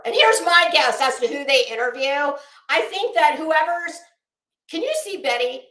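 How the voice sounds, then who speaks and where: A woman's voice: high-pitched, a bit bright. An American woman livestreamer giving casual, profane commentary in a scornful, sarcastic manner, leaning hard on emphatic delivery. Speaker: online livestream host. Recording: roomy, echoing sound.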